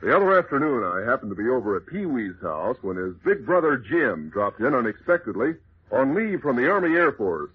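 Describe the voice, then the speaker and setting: A man's voice: mid-range voice, big pitch swings, fairly quick, slightly rough. A man delivering a folksy, chummy 1940s radio commercial aimed at children. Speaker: radio advertising pitchman. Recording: thin, phone-like old radio sound.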